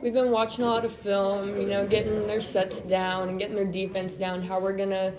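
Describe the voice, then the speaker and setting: A woman's voice: mid-range voice, level tone, fairly quick, low-quality recording. A teenage girl basketball player answering in a plain, matter-of-fact way. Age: teens. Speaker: high school basketball player. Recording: crowd noise in a gym.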